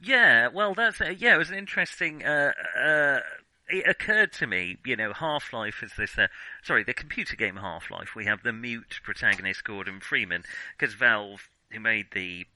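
A man co-hosting a casual film discussion, halting and hedging as he restarts his sentences mid-thought. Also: medium pitch, expressive, sharp tone, no room sound, rough recording. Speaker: podcast co-host.

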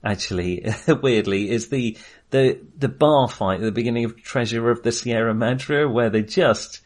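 Man, podcast co-host, mid-range pitch, hesitant, halting, casual film talk. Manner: hesitant, halting, thinking aloud.

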